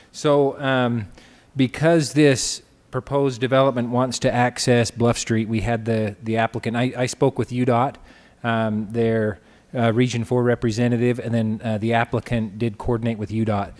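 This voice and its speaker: Flat-voiced engineer in a reverberant chamber. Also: male voice, mid-range voice. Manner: halting, many fillers. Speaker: city engineer. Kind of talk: technical explanation at a council meeting.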